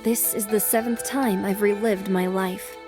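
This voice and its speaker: A woman's voice: mid-range voice, steady tone, a little slow, music in the background, natural voice. A woman voicing a young, earnest noblewoman in a dramatic anime fan dub. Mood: reflective.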